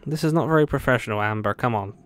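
A man's voice: mid-range voice, fast talker, slight background noise. A man giving casual, joking let's-play commentary, playful and quippy with sing-song asides. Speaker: video game let's-player. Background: game music.

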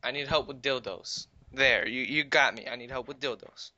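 A man's voice: mid-range voice, moderate pace, sharp tone, dry recording. A man making a casual prank phone call, goading in a mock-friendly, repetitive way, on a recorded call. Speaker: prank caller. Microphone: recorded phone or internet call.

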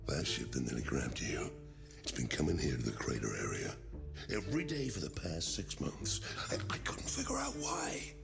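A man's voice: extremely low pitch, wildly swooping pitch, moderate pace, gravelly, music in the background, full character voice. An actor voicing a brash, eager and loyal fat scavenger man in a video game, with a drawling, swaggering delivery. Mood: explaining. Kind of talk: video game cutscene acting.

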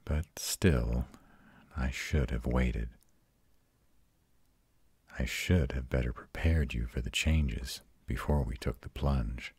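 A man playing an ancient vampire who tenderly comforts his mate in a soft, intimate roleplay audio drama. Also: dry recording, exaggerated voice. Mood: tender, apologetic. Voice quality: very deep, husky. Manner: soft, intimate, slow reassurance. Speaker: voice actor.

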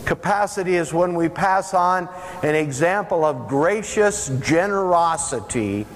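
An older man preaching a sermon as pastor, earnest and emphatic, with drawn-out pauses, standing in a large church sanctuary. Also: fairly high pitch, expressive. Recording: long church reverb, faint hiss.

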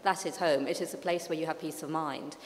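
A woman novelist answers a panel question in a measured, reflective way, pausing with fillers and rephrasing as she goes. Her voice sits a little low. The sound rings with the long reverb of a large hall. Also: fairly even intonation. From Pakistan, raised in London. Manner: reflective, many fillers and restarts. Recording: echoey hall.

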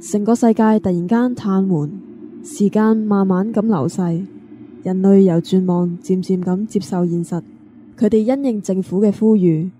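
A woman's voice: mid-range voice, steady tone, normal speed, warm tone, a little hiss or hum, natural voice. A woman narrating a radio drama in a scripted, storytelling manner. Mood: somber. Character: female narrator of a world struck blind.

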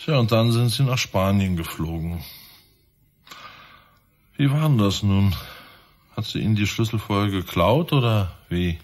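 Sardonic, deep-voiced police inspector. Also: male voice, unhurried, long pauses, darkish tone. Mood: insistent. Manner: probing, sarcastic, insistent. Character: relentless sardonic male police inspector interrogating suspects. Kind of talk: radio crime drama acting.

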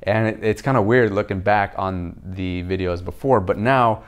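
Deep-voiced young man talking to camera. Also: normal speed, clear, resonant tone. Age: young adult. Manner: relaxed, explanatory, often checks in with "okay?". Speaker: video host reviewing a book. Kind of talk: casual talk to camera. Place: home kitchen, indoors. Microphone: camera facing him at chest-up framing.

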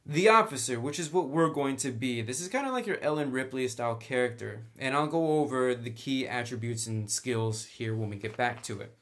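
A man's voice: mid-range voice, fairly quick, few pauses, a bit bright. A man hosting a casual tabletop game tutorial, talking in a chatty, informal, slangy way. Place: indoors at a tabletop.

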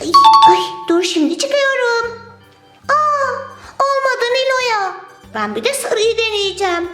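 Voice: very high voice, big pitch swings, measured pace, exaggerated voice. A performer voicing an eager, curious childlike toy character in a children's play skit, exclamatory and playful. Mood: trying, disappointed. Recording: roomy echo with music behind.

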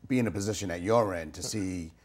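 A middle-aged man with a husky, somewhat low voice hosts a sit-down interview in a small TV studio. He talks loosely, circling his point with restarts and asides. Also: fast. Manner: rambling, many restarts and asides. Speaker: talk show host. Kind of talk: casual interview chat. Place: small TV studio with curtain backdrop.

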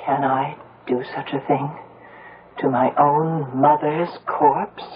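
An actress playing an anguished village woman in a radio mystery, speaking in a dramatic, halting way. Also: deep voice, fairly even intonation, husky, full character voice. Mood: horrified. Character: anguished village woman, daughter of a suspected vampire. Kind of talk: 1970s radio mystery acting. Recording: muffled, narrow-band old radio broadcast.

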